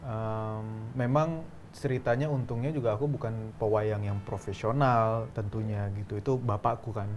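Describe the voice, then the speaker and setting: A man who is a guest actor, talking in a relaxed, thoughtful way with many fillers. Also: on the low side, normal speed, faint music behind. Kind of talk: casual interview chat.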